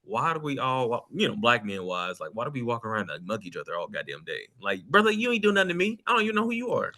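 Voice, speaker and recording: An American man chatting casually as a podcast co-host, animated and slangy, quick to interject. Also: medium pitch. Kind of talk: casual chat.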